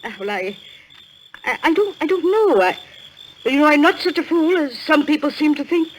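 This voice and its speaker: A woman's voice: high-pitched, animated, normal speed, low-quality recording. A flustered, grieving aunt dithers and falters plaintively. She sounds gullible and unsure of herself. The old radio thriller recording carries a little noise.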